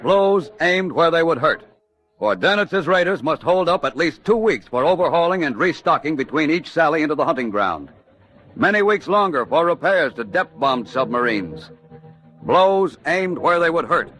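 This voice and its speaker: A man's voice: on the high side, animated, normal speed, fairly bright tone, soft background music. A man delivering emphatic, urgent scripted narration in a dramatic 1940s radio style.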